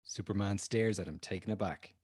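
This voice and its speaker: A man's voice: fairly low pitch, brisk, darkish tone, slight background noise. A man narrating the stage directions of a screenplay at a table read.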